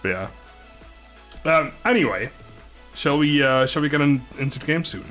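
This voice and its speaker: Rambling, low-energy male streamer from the Netherlands. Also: animated, moderate pace, a bit bright. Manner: rambling, laid-back, swears mildly, often chuckles. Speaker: live streamer. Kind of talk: casual livestream chat with viewers. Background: music.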